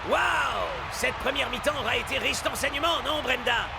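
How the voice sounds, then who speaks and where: High-pitched, bombastic cartoon match commentator. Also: male voice, normal speed, creaky, full character voice. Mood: thrilled. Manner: exclamatory, dramatic. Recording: loud music behind the voice.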